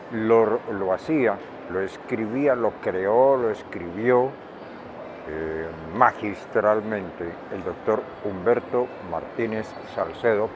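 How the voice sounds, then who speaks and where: Older colombian guy being interviewed, really slow and deliberate, dull recording with street noise behind. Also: lowish voice. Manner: deliberate, emphatic, repeats words for emphasis. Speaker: interviewee, veteran radio director and journalist. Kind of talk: reflective interview talk. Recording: dull lo-fi, clear outdoor traffic noise.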